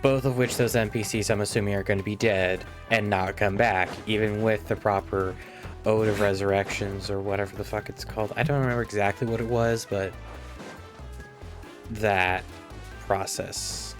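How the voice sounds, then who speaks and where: A man's voice: lowish voice, fairly even intonation, moderate pace, over music. A man giving casual, rambling, sweary commentary as a live game streamer.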